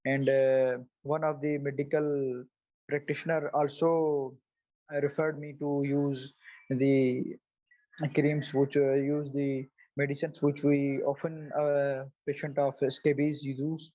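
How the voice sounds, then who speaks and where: A man in his twenties from Pakistan, speaking as a guest on a video call, halting, with many fillers and restarts. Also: medium pitch, level tone, no room sound, dull audio. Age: 20s. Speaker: guest, psoriasis advocate. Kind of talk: casual interview answers.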